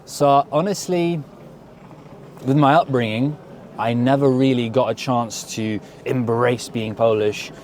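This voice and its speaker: A man's voice: mid-range voice, fairly even intonation, measured pace, darkish tone, slight background noise. A man with an English accent being interviewed outdoors, talking in a reflective, earnest way and repeating words as he thinks. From grew up in England. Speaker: interview guest. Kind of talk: casual interview. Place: outdoors at a street event.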